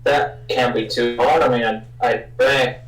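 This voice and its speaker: A man's voice: mid-range voice, fairly even intonation, moderate pace. A man playing a tabletop roleplaying game, talking casually in character, a bit away from the microphone in an echoing room. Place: indoor room, group game livestream. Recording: echoey room, slightly distant from mic.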